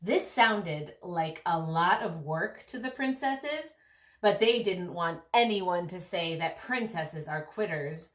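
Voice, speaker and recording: A woman reading a picture book aloud in an expressive way, with emphatic stresses and drawn-out phrasing. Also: on the low side. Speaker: storybook reader.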